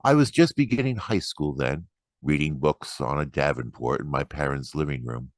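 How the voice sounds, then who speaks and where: A man giving a dramatic, expressive and deliberate reading of poetry. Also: rumbling low, no room sound, clean studio sound. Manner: expressive, deliberate, acts out quoted remarks. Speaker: poetry reader.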